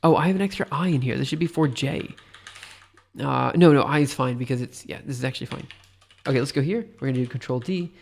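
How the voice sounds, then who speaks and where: A man's voice: mid-range voice, fast, vocal fry, slight background noise. Stuffy-nosed man rambling through live coding. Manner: rambling, hesitant, self-deprecating. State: stuffy nose; tired. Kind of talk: casual live coding commentary, thinking aloud.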